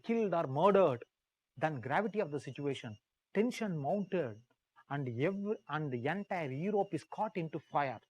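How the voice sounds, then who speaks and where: An Indian-accented male history teacher with a fairly high voice and a dry, close sound. He lectures in a storytelling way, hammering key phrases by repeating them and quizzing his students as he goes. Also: no echo, rough recording. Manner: puts questions to the class. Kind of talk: live classroom lecture told as dramatized story.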